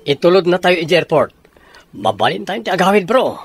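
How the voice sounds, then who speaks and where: A man's voice: high-pitched, big pitch swings, fairly quick, slightly rough, heavily acted. A man with an Ilocano accent acting an earnest soldier in a radio drama. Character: earnest soldier abroad confiding in his buddy.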